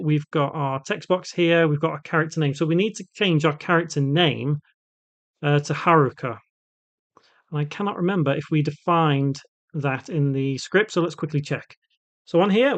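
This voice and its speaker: Tutorial presenter, mid-pitched voice, conversational, unscripted, thinking aloud step by step. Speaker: tutorial host. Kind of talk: casual unscripted screen-recorded coding tutorial.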